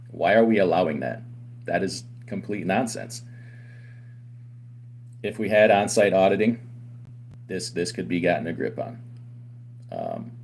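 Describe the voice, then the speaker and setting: Man, very deep voice, trucking company owner, emphatic argumentative commentary, rhetorical questions, faint background hum. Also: a little mellow. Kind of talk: opinionated commentary to camera.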